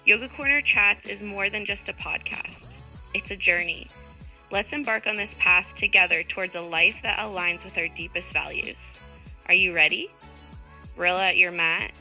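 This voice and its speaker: An upbeat woman hosts a yoga podcast in a bright, clear voice. She reads her intro with energy and later slows into a calm, guiding pace. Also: lowish voice. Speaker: podcast host. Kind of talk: scripted intro then guided breathing.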